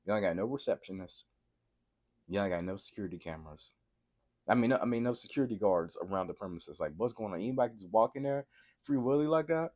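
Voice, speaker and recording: A man with a cold and a sore throat, excitedly recapping a TV episode for his viewers and often checking in with a quick "right?". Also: lowish voice. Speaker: TV episode reviewer. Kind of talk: casual TV recap vlog.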